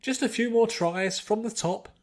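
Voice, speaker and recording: A man's voice: sits high, moderate pace, pitched above the performer's own voice, lightly acted. A man voicing a kindly, joyous old priest who leads a choir, polite and encouraging.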